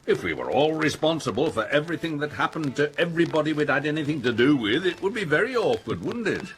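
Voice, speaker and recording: An actor playing a pompous, self-important middle-aged factory owner, blustering and dismissive in a radio play. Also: male voice, fairly high pitch, very animated, fast talker, a bit bright, slight background noise. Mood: defensive, dismissive.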